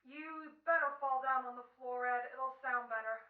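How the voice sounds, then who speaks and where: Through a tinny, echoey line, a woman plays a commanding radio director with a high voice. The delivery is brusque and impatient, barking orders in slangy old-time style. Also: normal speed, lightly acted. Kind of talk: old-time American radio comedy-horror acting. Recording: distant, echoey, phone-like online call.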